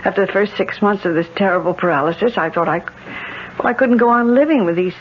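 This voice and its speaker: An American actress playing a proud, bitter, rich middle-aged widow in a radio drama, speaking with precise, sardonic theatricality. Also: female voice, mid-range voice, brisk, close to an everyday voice. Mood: bitter, weary. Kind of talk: 1970s radio drama acting. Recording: dull, noisy 1970s radio recording.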